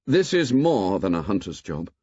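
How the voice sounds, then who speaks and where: An earnest boy leader argues his case firmly, voiced by a male reader. The voice is husky and lively, recorded dry and lo-fi. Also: low-pitched, normal speed, no room sound, heavily acted. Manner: firm, reasoning.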